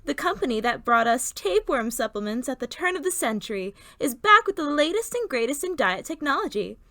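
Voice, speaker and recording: A woman's voice: high-pitched, very animated, a little fast, sharp tone, no echo, exaggerated voice. A woman playing a perky, chatty 1950s radio advertisement housewife with chirpy sales patter. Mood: perky sales pitch. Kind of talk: 1950s radio commercial parody.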